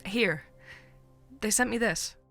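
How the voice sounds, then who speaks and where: A woman's voice: medium pitch, takes it slowly, a bit bright, faint music behind. An actress playing a keen, teasing young woman who works as an amateur sleuth in an audio drama. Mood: proud, eager.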